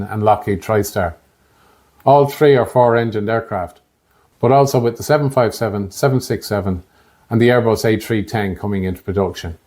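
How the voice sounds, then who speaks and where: A man in his late fifties with an Irish accent and a fairly low voice. He narrates from a script in a measured, factual manner, as a podcast host would. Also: a little mellow. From Ireland. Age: late 50s. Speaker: podcast host, air traffic controller.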